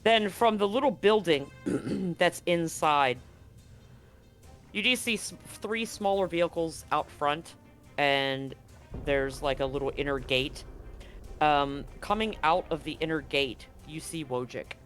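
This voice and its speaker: A man's voice: fairly high pitch, slow, a bit bright, soft background music. A man game master narrating a tabletop horror game in vivid, graphic detail with slangy asides.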